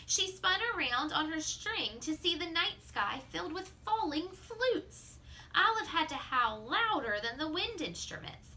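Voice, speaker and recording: A woman reading a children's story aloud like a teacher, expressively, drawing out words and dropping into hushed asides. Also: extremely high pitch, very animated, measured pace, a little hiss or hum, low-quality recording.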